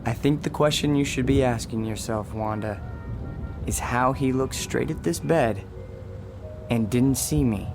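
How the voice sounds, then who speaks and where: An actor playing Death as a calm, gentle man in a radio drama, measured, soft-spoken and quietly insistent. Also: mid-range voice, music in the background, natural voice. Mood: quietly commanding. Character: calm, gentle, persuasive Death in a man's form. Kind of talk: dramatic radio play acting.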